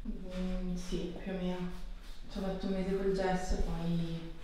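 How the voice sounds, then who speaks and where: Female patient, twenties, small flat intonation, brief casual replies, recorded off-mic in a reverberant room. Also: lowish voice, measured pace. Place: small indoor treatment room. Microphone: camera microphone at a distance. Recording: distant, echoey room, faint noise.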